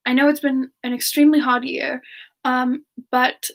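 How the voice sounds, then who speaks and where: A woman voicing a gentle, polite female demon in a soft-spoken, hesitant semi-ASMR chat with viewers. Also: high-pitched, level tone, moderate pace, creaky. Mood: gentle, chatty. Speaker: streamer answering viewer questions in character.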